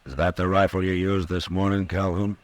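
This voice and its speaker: An actor playing a steady, fearless American marshal in a 1950s radio western, speaking in terse, measured lines. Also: male voice, low voice, no echo, lightly acted. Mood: defiant. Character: stern, steady, fearless Old West U.S. marshal.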